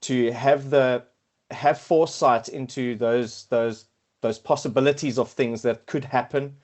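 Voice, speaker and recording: A man's voice: mid-range voice, steady tone, unhurried, a little mellow. A middle-aged man with a South African accent, a podcast guest talking casually and hesitantly, with many fillers and restarts. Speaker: podcast guest, quantity surveyor. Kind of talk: casual interview chat.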